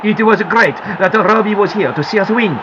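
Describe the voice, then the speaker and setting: A cheerful, proud male football star from a storybook, speaking in a lower, relaxed voice, as a woman reader puts him on. He sounds easy-going and pleased with himself. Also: moderate pace, dull audio, background noise, natural voice.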